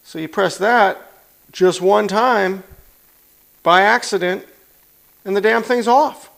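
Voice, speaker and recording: A man with a fairly high-pitched voice and a noticeable gravelly creak gives a casual hands-on review. He is plain-spoken and blunt, explaining things as he handles them. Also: takes it slowly, fairly bright tone. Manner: plain-spoken, blunt, demonstrating while talking. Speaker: product reviewer, motorcycle channel host.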